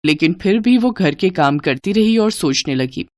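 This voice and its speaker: A woman's voice: fairly low pitch, animated, moderate pace, darkish tone, no echo. A woman narrating a scripted moral story for children as the storyteller.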